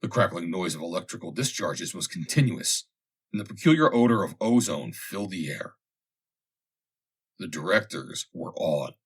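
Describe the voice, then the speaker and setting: A man reading a vintage humorous story aloud as scripted narration, with dramatic, expressive delivery. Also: deep voice, moderate pace, husky. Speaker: audiobook reader.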